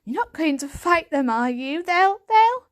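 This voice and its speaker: Woman as an anxious, high-voiced young tomcat. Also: very animated, measured pace, exaggerated voice. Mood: anxious, pleading. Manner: anxious, faltering questions. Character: frightened young male cat, anxious, pleading.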